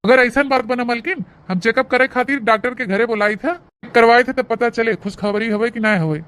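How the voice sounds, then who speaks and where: A man's voice: extremely high pitch, steady tone, fairly quick, fairly bright tone, clean tone. A man with an Awadhi accent voicing a callous elderly husband who lectures overbearingly in a comic cartoon. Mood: hopeful.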